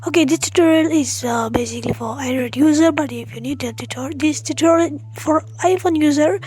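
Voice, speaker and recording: A woman hosting a tech tutorial, explaining step by step in a halting, friendly way and speaking straight to her viewers. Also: high voice, darkish tone. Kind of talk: spoken tutorial walkthrough.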